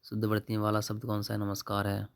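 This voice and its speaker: Young guy, lowish monotone voice, casually running thru exam questions n answers. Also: fast talker, gravelly, clear, resonant tone. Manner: offhand, colloquial, addresses viewers as brother. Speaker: exam-prep YouTube tutor. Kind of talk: informal exam paper walkthrough, reading questions and answers.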